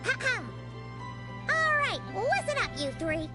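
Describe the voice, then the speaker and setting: Game role: feisty kid inventor, stubborn, dramatic, very high voice, animated delivery, music behind. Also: female voice, exaggerated voice. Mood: scolding. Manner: emphatic, theatrical, drawn-out words. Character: feisty young girl mechanic, stubborn and dramatic. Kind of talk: video game voice acting.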